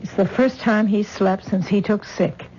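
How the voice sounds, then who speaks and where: A fretful, husky middle-aged mother. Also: medium pitch, a little slow, dark tone. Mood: anxious. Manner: fretful, coaxing. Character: worried mother of grown daughter Tessa. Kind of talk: 1970s radio drama acting. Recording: dull old radio broadcast recording.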